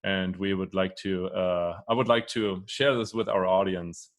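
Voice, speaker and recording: Low-voiced hesitant male podcast host. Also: little pitch movement, normal speed. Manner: hesitant, repeats words, many fillers. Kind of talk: interview hosting.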